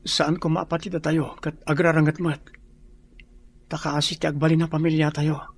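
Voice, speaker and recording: Earnest young radio-drama suitor, higher-pitched. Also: male voice, little pitch movement, normal speed, vocal fry, slightly rough. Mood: earnest, pleading. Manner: earnest, respectful. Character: earnest young male student, hopeful peacemaker and suitor.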